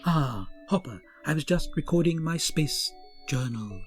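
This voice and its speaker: A man's voice: fairly high pitch, animated, a little slow, soft background music. A man playing a pompous starship captain. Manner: pompous, grandiose. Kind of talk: comic audio drama.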